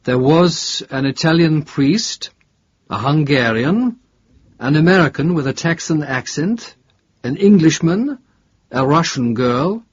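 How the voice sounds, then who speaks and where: A man voicing an older, guarded male chemistry professor in an audiobook, with a halting, deliberate, emphatic delivery. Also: fairly high pitch, expressive, slightly rough, dull audio, pitched above the performer's own voice, heavily acted. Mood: matter-of-fact, precise. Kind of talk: audiobook character dialogue.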